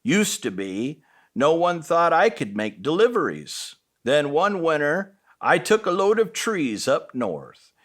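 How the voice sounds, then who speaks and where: A man's voice: on the high side, sing-song, slow, a bit bright, exaggerated voice. A man voicing a friendly, obliging male delivery truck in a storybook, modest and encouraging. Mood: modest, reflective.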